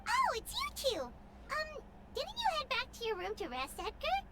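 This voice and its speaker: A woman's voice: very high-pitched, wildly swooping pitch, measured pace, very noisy, heavily acted. A woman voicing a small, childlike fairy companion in a video game, curious and chirpy. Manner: refers to self in third person.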